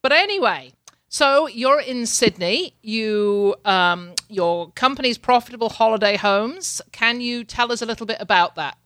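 A woman's voice: fairly high pitch, lively intonation, unhurried, a bit bright, dry recording, studio quality. A woman hosting a casual interview, talking in a chatty way with frequent repeated words and light laughs. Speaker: podcast host. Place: home in Canada.

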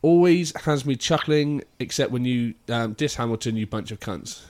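A man's voice: mid-range voice, moderate pace, a little mellow. A man hosting a podcast, reading out a listener's email and trading jokey, sweary banter with his co-hosts. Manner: jokey, swears freely, laughs often. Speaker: podcast host.

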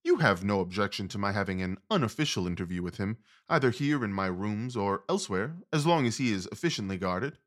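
An actor playing a confident, commanding gentleman detective, wry and precise, in an audio drama. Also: on the low side, animated, a little fast, hardly any pauses. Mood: commanding, brisk.

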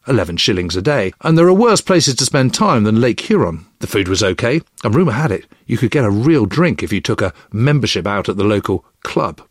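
A man's voice: lowish voice, husky, dry recording, studio quality. A man narrating a scripted history programme in an informal, conversational way. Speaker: podcast narrator.

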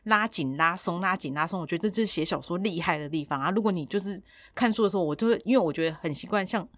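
A woman with a Taiwanese Mandarin accent hosts a casual podcast chat. Her voice sits a bit low and she talks quickly, in an animated, opinionated way. The sound is dry, with hardly any room to it. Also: no echo. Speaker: podcast host.

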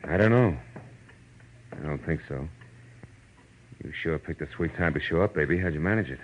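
A man's voice: a bass voice, animated, normal speed, a little hiss or hum. An actor playing a wry, unflappable American private eye in a 1940s radio drama, talking in clipped tough-guy slang. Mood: weary, wry. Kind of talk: 1940s radio detective acting with first-person narration. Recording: dull vintage radio recording with loud music.

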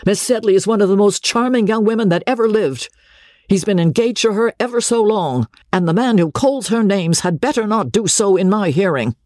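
A man's voice: medium pitch, very animated, a little slow, a little mellow, husky, no room sound, studio quality, exaggerated voice. A man voicing an earnest, loyal army captain who chides plainly, in a storybook reading. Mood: heated, protective. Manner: earnest, plain-spoken, chiding. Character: earnest, loyal male army captain, protective older friend.

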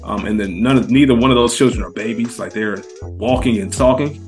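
A man speaking as a guest on a casual podcast, laying out his view in a measured way with frequent fillers. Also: fairly low pitch, soft background music.

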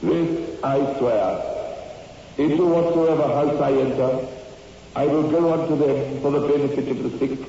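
An actor playing an earnest hospital doctor, formal and grave, in a 1960s radio horror drama. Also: male voice, medium pitch, a little slow, creaky, dark tone, very echoey, long reverb tail, recorded from a distance. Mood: solemn. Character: earnest male hospital doctor assisting in surgery. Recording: dull, noisy old radio recording.

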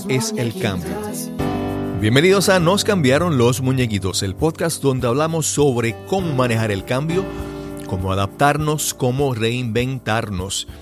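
A man's voice: mid-range voice, lively intonation, a little slow, music in the background. A man hosting a podcast, introducing and interviewing in a conversational way. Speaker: podcast host.

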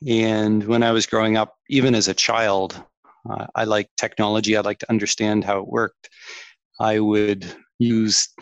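A Canadian man with a fairly low voice speaks as a podcast guest. He sounds curious and reflective, often restarting his sentences. The recording is dry and close, with no room sound. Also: little pitch movement, moderate pace, smooth, no echo, highs cut off. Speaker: tech executive guest. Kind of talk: podcast interview.

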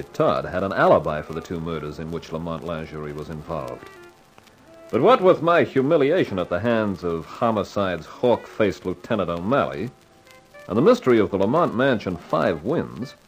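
An actor playing a cocky, wry amateur sleuth in a slangy 1950s radio detective drama, both narrating and in dialogue. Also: male voice, sits low, poor audio. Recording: vintage 1950s radio recording.

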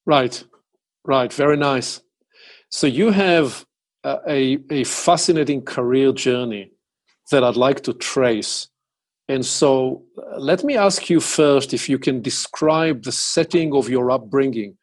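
A man hosting an interview, putting questions in a deliberate, conversational way, phrase by phrase. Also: mid-range voice. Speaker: interviewer, host.

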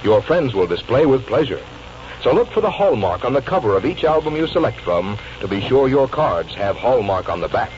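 A man's voice: medium pitch, sing-song, brisk, a little mellow. An American man delivering a polished, scripted 1940s radio sponsor announcement. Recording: muffled old radio recording, faint noise.

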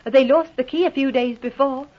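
A woman's voice: sits high, moderate pace. An actress playing an earnest, respectful young woman in mourning, speaking deferentially in an old radio drama. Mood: earnest, insistent. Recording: muffled old 1940s radio recording.

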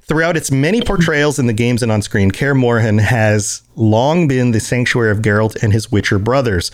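An American man co-hosting a podcast, chatting casually and reading event listings aloud, with animated jumps to high excited exclamations. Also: normal speed. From United States. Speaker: podcast co-host.

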